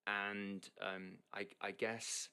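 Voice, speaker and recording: A man's voice: fairly low pitch, level tone, extremely slow, sharp tone, clean tone. A young man who grew up in Hong Kong, a guest chatting casually, rambling with many fillers and restarts. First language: English. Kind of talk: casual interview chat.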